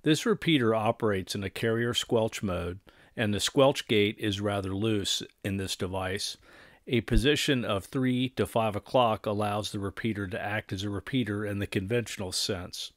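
Middle aged guy narrating tech gear stuff, lowish voice, formal and precise, dry close sound. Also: dry recording. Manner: measured, formal, precise wording. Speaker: presenter of a radio equipment channel. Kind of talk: scripted technical narration.

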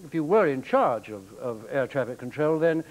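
A middle-aged man with an English accent playing a polite, sceptical television interviewer in a satirical sketch, probing in a measured but hesitant way. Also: medium pitch, animated, natural voice, rough recording. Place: television studio before an audience.

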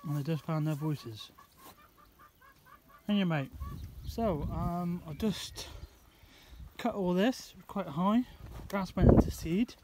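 A man talking casually and haltingly in an unscripted vlog as a hobby chicken keeper, outdoors on a phone. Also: high-pitched. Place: outdoors in a grassy chicken run. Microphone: handheld phone, varying distance. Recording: noisy outdoor phone recording, chickens clucking. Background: loud outdoor noise, clucking and crowing chickens.

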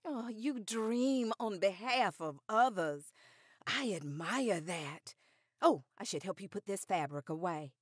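A woman's voice: medium pitch, lively intonation, takes it slowly, slightly rough, no room sound, clean studio sound. An actress playing a poised, formidable older activist lady of the 1910s with formal, precise diction in a radio drama. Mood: firm, measured. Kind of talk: period radio drama acting.

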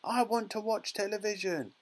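A man voicing a tiny, cocky, brattish little boy with a small squeaky voice and shrill insistence. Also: normal speed. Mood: furious tantrum.

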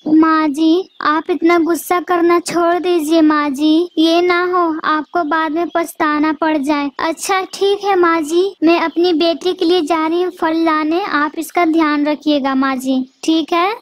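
A woman's voice: very high voice, steady tone, unhurried. A woman voicing a worried young mother bird. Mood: gentle. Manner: pleading, prayerful. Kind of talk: cartoon voice acting.

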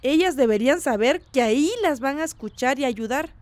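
High-voiced, sincere village woman. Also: expressive, darkish tone, no room sound. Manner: measured, sincere. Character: earnest village woman, supportive community activist being interviewed. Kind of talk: radio drama acting.

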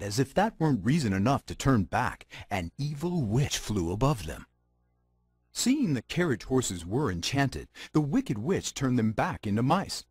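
A wry fairy-tale narrator for a video game. The husky voice is theatrical, with big swings in pitch and a dry, close sound. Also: male voice, no echo. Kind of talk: scripted storybook narration.

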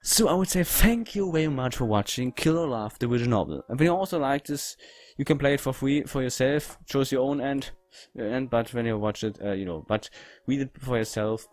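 A man giving casual game commentary in halting, non-native English phrasing. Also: medium pitch, darkish tone, no echo, faint music behind. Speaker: gaming video host.

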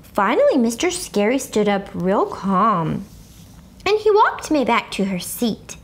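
A woman in her thirties reading a children's book aloud as an expressive, dramatic storyteller. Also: on the high side, unhurried. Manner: animated, dramatic. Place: furnished living room, indoors. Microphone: lapel mic.

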